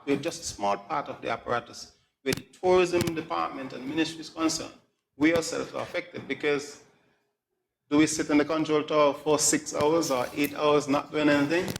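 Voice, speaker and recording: A middle-aged man, explaining things as an interview guest in a measured, phrase-by-phrase way. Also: a little high, gravelly. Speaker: air traffic controller, interview guest. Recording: echoey room, light background noise.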